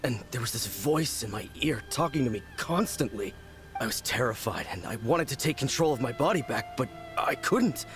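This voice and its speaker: A man's voice: medium pitch, expressive, moderate pace, music in the background, lightly acted. A man voicing a timid young swordsman in a video game, shaken and self-deprecating after being possessed.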